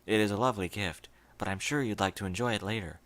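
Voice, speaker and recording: A man voicing a calm, stern pony who speaks in a low murmur. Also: quick pace, dry recording, close to an everyday voice. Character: calm, stern pony guard, quietly menacing.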